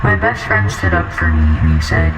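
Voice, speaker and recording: A man's voice: extremely low pitch, huge pitch swings, normal speed, heavily acted. A man playing a haunted, brooding outcast who recounts a terrifying night in a first-person horror audio drama. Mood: uneasy, regretful. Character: haunted outcast male friend recounting a terrifying house incident. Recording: roomy distant voice under loud music.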